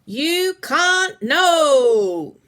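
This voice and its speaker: A woman voicing a loud, haughty female pet parrot with a British accent. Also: sits high, very animated, pitched above the performer's own voice, full character voice. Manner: loud, drawn-out repeated catchphrase.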